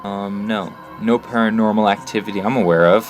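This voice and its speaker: An actor playing a troubled, earnest teenage boy in an audio drama, speaking haltingly. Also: on the low side, steady tone, faint music behind, lightly acted. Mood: bewildered.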